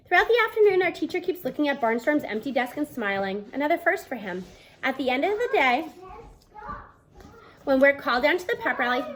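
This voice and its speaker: A woman in her thirties narrates a children's book. Her voice is high and very lively in pitch, with an expressive storytelling delivery, picked up by a device at arm's length indoors. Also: a little hiss or hum. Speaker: read-aloud narrator. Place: small room at home, indoors.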